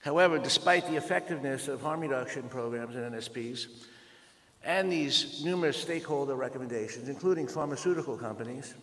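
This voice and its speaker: A man with a Dutch accent giving a conference presentation, measured and pausing often with fillers. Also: mid-range voice, a bit bright. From Netherlands. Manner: measured, with frequent pauses and fillers. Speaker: conference speaker. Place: large conference hall. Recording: reverberant conference hall.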